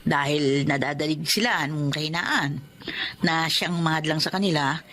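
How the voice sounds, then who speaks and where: An actress playing a calm, sympathetic female confidante in a Filipino drama, with a Filipino accent. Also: sits low, a little slow. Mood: consoling. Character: calm, sympathetic woman confidante giving moral advice. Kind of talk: Filipino radio-style drama acting.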